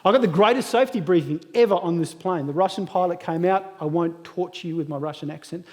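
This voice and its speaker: Aussie guy in his 30s, fairly high voice, cracking self-deprecating jokes thru a keynote, long hall echo. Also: fast, a little mellow. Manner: humorous self-deprecating storytelling. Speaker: guest speaker. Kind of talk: motivational keynote speech. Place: large hall at a graduation ceremony. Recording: echoey hall reverb.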